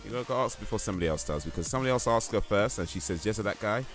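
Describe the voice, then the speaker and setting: A deep-voiced man talks casually to his stream audience, rambling and hesitant with plenty of fillers and restarts. Quiet music plays faintly underneath his voice. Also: expressive, racing. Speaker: livestream host. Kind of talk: casual stream chat, reading chat and quiz aloud.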